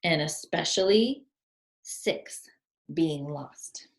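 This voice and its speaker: A woman's voice: deep voice, animated, drawn-out words, creaky, full character voice. A woman voicing an anxious, fussy male character who loves lists in a children's storybook, earnest and precise. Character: Wallace, anxious fussy male list-lover. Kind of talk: children's storybook read-aloud. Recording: roomy, echoing sound.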